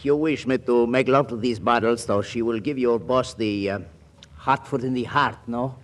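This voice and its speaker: An actor playing a charming South American ladies' man with a Latin American accent and halting, broken English in a 1940s radio comedy. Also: mid-range voice, slight background noise, close to an everyday voice. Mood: reluctant, bemused.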